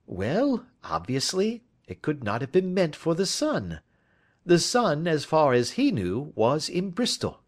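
A precise, lecturing Victorian detective lays out his reasoning with sharp emphasis, voiced by a male audiobook reader. His voice sits a little high and swings widely as he expounds, step by step. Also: wildly swooping pitch, unhurried, heavily acted.

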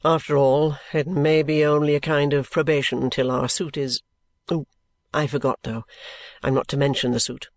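A woman voicing a careless, easygoing and affectionate young gentleman in an audiobook, with a breezy, musing manner. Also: low voice, level tone, normal speed, no echo, voice pushed down. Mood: carelessly breezy. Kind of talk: audiobook character dialogue.

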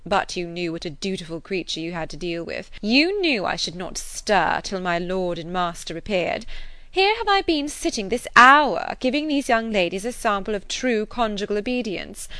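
A woman's voice: mid-range voice, lively intonation, moderate pace, fairly bright tone, no room sound. A woman putting on a gushing, vain young wife. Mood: scolding. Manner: effusive, affected and gushing.

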